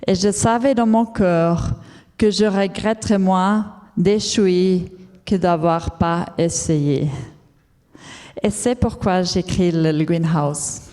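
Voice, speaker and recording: A woman who is not a native French speaker tells her own story as a conference talk, careful and halting, with many fillers and restarts. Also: fairly low pitch, mellow. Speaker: entrepreneur giving a conference talk. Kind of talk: personal story told as a talk. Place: large lecture hall. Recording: long reverb of a large hall.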